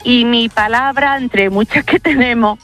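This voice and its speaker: A woman's voice: fairly high pitch, unhurried, a bit bright. Extremaduran woman calling a radio show. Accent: Extremaduran Spanish. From Extremadura, Spain. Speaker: radio phone-in caller. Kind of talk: casual anecdotal explanation. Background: faint music.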